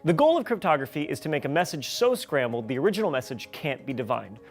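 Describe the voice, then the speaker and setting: A man's voice: on the high side, very animated, fast, faint music behind. A man hosting a scripted science explainer in a wry, playful manner. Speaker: science show host.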